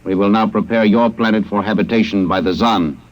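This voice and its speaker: A man playing a cold, precise alien conqueror in a 1950s radio drama, speaking in a flat, formal way. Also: lowish voice, fairly quick, natural voice, low-quality recording. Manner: flat, formal, emotionless speech. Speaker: alien captor.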